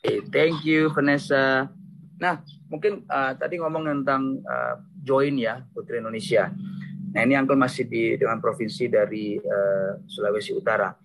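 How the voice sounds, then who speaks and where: A man with a gravelly, creaky voice hosts a relaxed online interview. He is friendly and switches easily between Indonesian and English. He speaks at an ordinary pitch over a video call. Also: moderate pace, a little hiss or hum, poor audio. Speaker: host.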